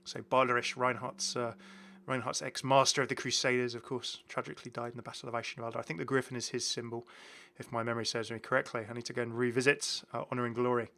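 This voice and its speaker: A man's voice: mid-range voice, level tone, fast, gravelly, bright, forceful tone. A British man giving casual, enthusiastic game commentary, rambling and often correcting himself as he goes. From United Kingdom. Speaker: gaming video host.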